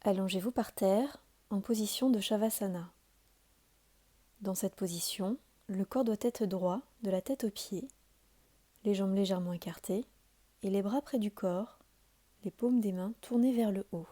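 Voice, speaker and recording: A woman giving calm, soothing guided meditation instructions as a yoga nidra teacher, in a deliberate, measured delivery. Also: medium pitch.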